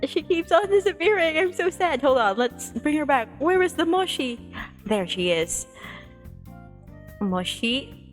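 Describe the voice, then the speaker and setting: Slow-paced, high-voiced woman livestreamer, playful. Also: faint music behind. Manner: playful, giggly, expressive. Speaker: streamer. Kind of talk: livestream chat and visual novel read-aloud.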